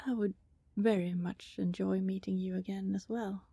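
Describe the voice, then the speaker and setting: A woman playing a friendly, teasing vampire neighbour in an intimate ASMR roleplay, chatty and casual, with a soft breathy voice that often slips toward a whisper. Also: medium pitch, steady tone, normal speed, dry recording. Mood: shy, warm. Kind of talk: ASMR roleplay, intimate one-sided conversation with listener.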